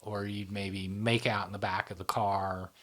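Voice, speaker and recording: A man's voice: on the low side, flat delivery, measured pace, fairly bright tone. A man in his fifties who hosts a casual psychology podcast chat, explaining things in small steps and checking in with "right?". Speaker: podcast host.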